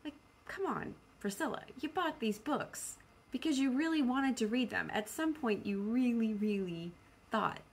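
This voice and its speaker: A woman's voice: on the high side, measured pace, breathy edge. A woman chatting casually and spontaneously as a book vlogger, rambling in a playful, self-deprecating way.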